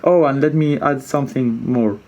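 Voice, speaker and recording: Mellow man thinking aloud through a tutorial. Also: mid-range voice, normal speed, rough recording. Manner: informal, hesitant thinking aloud, repeats himself, mild swearing. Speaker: tutorial presenter. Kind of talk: casual unscripted screen-share coding tutorial.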